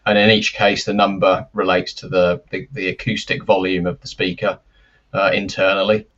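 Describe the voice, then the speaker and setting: A man giving careful, explanatory interview answers as a company expert, with measured and hesitant phrasing, over lo-fi live-stream audio. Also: low-pitched, level tone, fairly bright tone. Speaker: loudspeaker company representative, interview guest.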